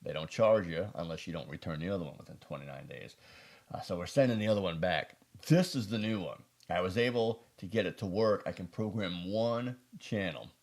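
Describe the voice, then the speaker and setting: A man's voice: sits low, a little fast. A man from the United States talking casually and unscripted as a product reviewer, in a folksy, conversational way.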